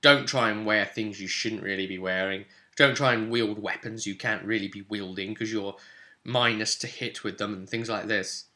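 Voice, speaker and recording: Bright, forceful man explaining game rules. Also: lowish voice. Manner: chatty, conversational, emphatic, adds tag questions. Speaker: tabletop game guide presenter. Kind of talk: casual explanatory tutorial.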